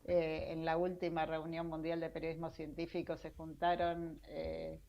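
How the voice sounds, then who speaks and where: A woman's voice: sits low, monotone, moderate pace, creaky. A middle-aged Argentine woman telling stories casually as an interview guest, self-deprecating and full of restarts. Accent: Argentine Spanish. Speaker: interview guest, science journalist. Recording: dull lo-fi sound.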